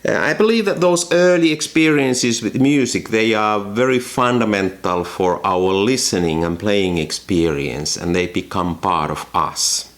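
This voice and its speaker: Slow, thoughtful man with a Finnish accent. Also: fairly low pitch. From Finland. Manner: thoughtful, pausing often with ums and restarts. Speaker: photography channel host. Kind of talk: reflective talk to camera. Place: home room with a piano.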